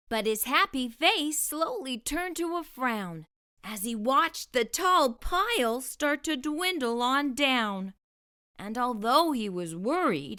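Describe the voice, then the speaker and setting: A woman's voice: fairly high pitch, bright, forceful tone. A woman narrating a children's storybook expressively, enunciating for young listeners as a storytime host.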